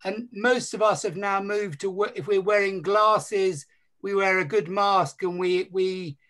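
A man's voice: high voice, measured pace, a bit bright. A middle-aged man on a video call panel, chatty and inquisitive, correcting himself as he goes. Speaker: surgeon panelist. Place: home room. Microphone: video call, computer mic.

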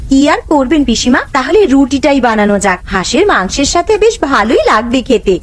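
A woman voicing a dutiful, anxious young village housewife in a cartoon, earnest and expressive. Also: sits high, brisk. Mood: respectful, chatty. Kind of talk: Bengali animated ghost-story voice acting.

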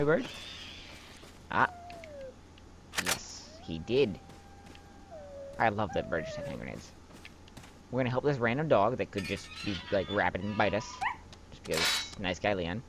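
Young male gamer, low voice that jumps around a lot, wry rambling asides, noisy home mic. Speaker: let's play commentator. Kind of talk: casual gameplay commentary.

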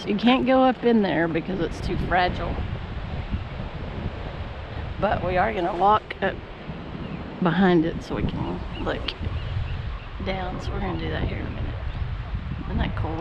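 A woman's voice: lowish voice, moderate pace, gravelly, pauses often, muffled recording. A middle-aged woman vlogging casually outdoors on a trail with a handheld camera. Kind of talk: casual outdoor travel vlog chat. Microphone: handheld camera at arm's length. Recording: windy outdoor noise.

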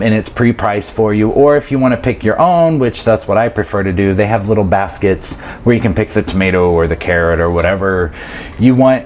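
Casual travel vlogger, man, thirties, lowish voice, chatty and animated, faint room hiss on a camera mic. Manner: chatty, animated, laughs often. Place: grocery store, then small apartment room indoors. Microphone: camera mic, handheld then fixed camera close up.

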